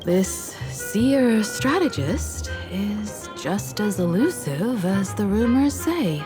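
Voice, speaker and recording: An actress playing a poised, caring crew mentor in a video game, composed and reassuring. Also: female voice, mid-range voice, big pitch swings, slow pace, over music. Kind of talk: video game character acting.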